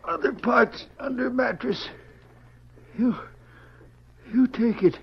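An actor playing a dying old frontier man in a radio western, weak and speaking in halting, broken phrases. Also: extremely high pitch, slightly rough, full character voice. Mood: dying, urgent. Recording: muffled, noisy old radio recording.

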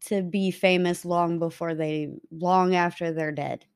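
A woman's voice: on the low side, fairly even intonation, measured pace, clean tone. A woman co-hosting a casual true-crime podcast, blunt and sarcastic in her remarks. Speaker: podcast co-host.

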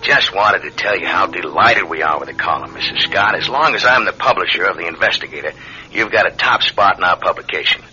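A man playing a brash, callous tabloid publisher in an old radio drama, glib and hard-nosed, with a raspy, forceful voice. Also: sits low, lively intonation, a little fast, soft background music, full character voice. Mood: hearty, pleased. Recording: dull vintage radio recording.

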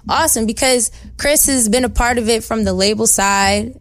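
A young woman singer-songwriter with a soft voice, chatting in an upbeat, slangy, bubbly way as an interview guest. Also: a little high, moderate pace, no room sound, studio quality. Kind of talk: casual interview chat.

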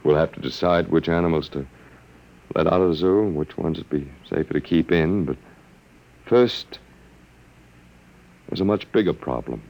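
An actor playing an earnest, gently wry last man on earth, halting and coaxing, in old radio drama style. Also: a bass voice, gravelly. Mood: earnest, musing. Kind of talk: 1950s radio drama acting. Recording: noisy vintage radio drama recording.

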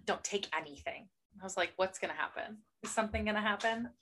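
A woman's voice: mid-range voice, quick pace, sharp tone. A young woman co-hosting a casual book podcast, chatty and sarcastic, mimicking characters as she talks. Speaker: podcast co-host. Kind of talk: casual chat about books.

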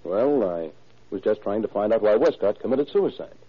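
An actor playing a cocky, wisecracking newspaper reporter in an old-time radio drama, flippant, nosy and pushy, with slangy delivery. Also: male voice, on the low side, lively intonation, moderate pace, husky. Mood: pushy, skeptical. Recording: dull vintage radio recording.

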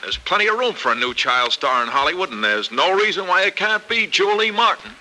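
An actor playing a brisk, confident, smooth-talking male Hollywood agent in a radio soap opera in the style of the 1940s. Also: high-pitched, sing-song, exaggerated voice. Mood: keen, confident. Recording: old noisy radio recording, sharp sound.

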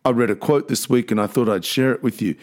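A man hosting a podcast, talking in a slow, thoughtful, reflective way. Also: medium pitch, darkish tone. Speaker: podcast host.

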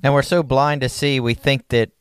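A man's voice: medium pitch, steady tone, moderate pace, clear, resonant tone, no room sound. A man co-hosting an interview, speaking sincerely and reflectively. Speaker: podcast co-host. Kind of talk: conversational interview.

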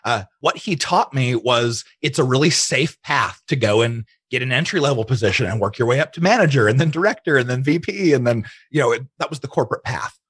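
A man's voice: mid-range voice, a bit bright, breathy edge, dry recording. A man speaking as an interview guest, chatting casually and telling personal stories, often quoting his own thoughts. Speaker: interview guest, digital marketing entrepreneur.

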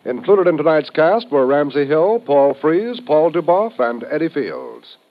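High-pitched American man, vintage radio announcer. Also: big pitch swings, measured pace, loud music behind the voice. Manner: polished, formal, emphatic. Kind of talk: 1950s network radio announcing, scripted promotion. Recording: dull old radio recording.

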